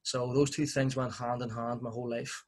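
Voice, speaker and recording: A man from Northern Ireland speaks with a Belfast accent, his pitch held flat and even. He tells his story reflectively, with a dry joke now and then. His speech is full of ums and restarts. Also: normal speed. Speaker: guest, fitness coach. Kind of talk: conversational interview.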